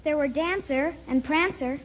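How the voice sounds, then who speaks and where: A woman's voice: sits high, unhurried. A woman playing a playful, teasing young daughter in an old radio comedy routine. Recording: dull old radio recording before audience.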